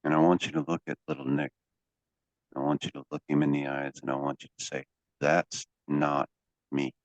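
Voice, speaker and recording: A man working as a hypnotherapy coach, guiding a session in a deliberate, commanding way with drawn-out pauses and phrases to be repeated. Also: very deep voice, flat delivery. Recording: dull, echoey lo-fi room sound.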